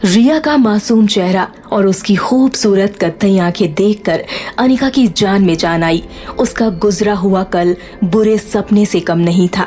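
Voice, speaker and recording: A woman's voice: mid-range voice, unhurried, a little mellow, faint music behind. A woman giving scripted, dramatic narration of an audio story as its narrator.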